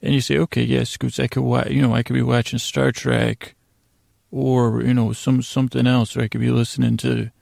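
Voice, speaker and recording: A man with a deep-leaning, heavily creaky voice delivers a bedtime monologue. His tone is soft-edged and dark, and he wanders haltingly from one half-finished sentence to the next, as the host of a sleep podcast. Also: little pitch movement, no room sound, studio quality. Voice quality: gravelly, creaky. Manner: meandering, halting, trailing off mid-sentence.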